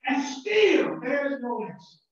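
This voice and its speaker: A man with a Southern American accent, a pastor preaching a sermon with shouted emphasis and repeated words. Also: very high voice, sing-song, a little slow. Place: church sanctuary. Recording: distant, very echoey and muffled church recording.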